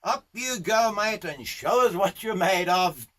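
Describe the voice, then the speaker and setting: Man putting on a loud, high-pitched bush boss. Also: animated, measured pace, exaggerated voice. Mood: bluff, friendly. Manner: loud, barking, swearing, blunt. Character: gruff, foul-mouthed Australian horse-riding boss, rough and goading.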